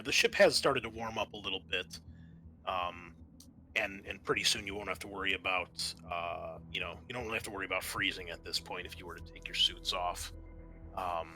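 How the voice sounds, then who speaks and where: Low, bright-voiced man as game master. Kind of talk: narrating and running a tabletop roleplaying game.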